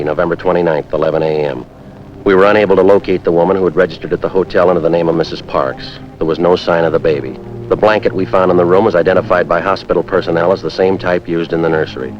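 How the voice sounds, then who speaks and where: An actor playing a terse, businesslike police sergeant in a 1950s crime drama, clipped and matter-of-fact. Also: male voice, low-pitched, close to an everyday voice. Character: terse, businesslike male police sergeant narrating his case. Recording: dull vintage 1950s soundtrack, music behind.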